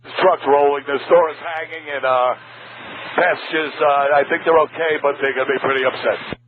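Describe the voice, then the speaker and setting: A man's voice: fairly high pitch, steady tone, normal speed, crowd noise. A stressed, rattled man piloting a plane makes urgent calls over aviation radio. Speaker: pilot in an in-flight emergency. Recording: noisy, muffled cockpit radio transmission.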